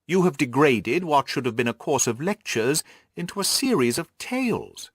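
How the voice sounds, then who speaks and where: A man's voice: a little high, big pitch swings, moderate pace, dry recording, studio quality, voice pushed up. A man voicing a haughty, disputatious detective who lectures his friend pompously in an audiobook. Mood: haughty, lecturing. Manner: pompous, didactic. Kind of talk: audiobook character dialogue.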